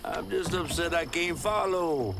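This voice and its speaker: A man's voice: a little high, a little slow, vocal fry. A man voicing a folksy, caring talking fish in a video game, with a Southern US accent and country turns of phrase. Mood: fond, concerned. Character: folksy talking fish, male, caring, nosy storyteller companion. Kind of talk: video game character acting. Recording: noisy water and boat ambience behind.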